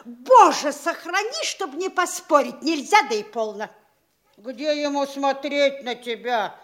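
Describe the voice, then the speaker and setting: An actress playing a vain, coquettish middle-aged provincial mayor's wife in a classical comedy, gushing, affected and haughty. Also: female voice, high-pitched, expressive, measured pace. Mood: dismissive, jealous. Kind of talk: Russian classical stage comedy radio acting.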